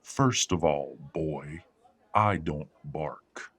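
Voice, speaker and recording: Guy acting rich bossy werewolf dad, really deep husky voice, formal n condescending, audio drama. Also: drawn-out words, a bit bright, exaggerated voice. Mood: commanding. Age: middle-aged. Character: imperious wealthy werewolf patriarch, coldly polite, controlling father.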